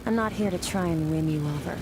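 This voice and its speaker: A woman voicing an aloof, mysterious master swordswoman in a video game, speaking in a cool, terse, deadpan manner. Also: fairly low pitch, fast talker, noisy background, natural voice. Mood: detached, matter-of-fact.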